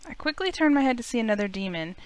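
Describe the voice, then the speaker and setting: Smooth-voiced woman reading a story. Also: on the high side, lively intonation, racing, low-quality recording. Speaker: streamer playing a visual novel. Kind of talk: dramatic first-person story read-aloud. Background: faint music.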